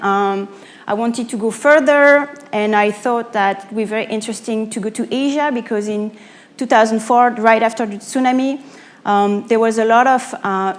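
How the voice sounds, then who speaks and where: A woman from France with a French accent giving a live talk as an author and coach, explaining through rhetorical questions with pauses and self-corrections. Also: fairly high pitch. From Normandy, France. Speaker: speaker, author and pitch coach. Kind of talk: live public talk to an audience.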